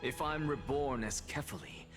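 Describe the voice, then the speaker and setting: An actor playing an arrogant, cryptic scholar in a video game, speaking in a deliberate, rhetorical and condescending way. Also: male voice, mid-range voice, in a large hall, over music, natural voice. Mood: confident, cryptic. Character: arrogant, cryptic male scholar with theatrical self-assurance. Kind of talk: dramatic video game voice acting.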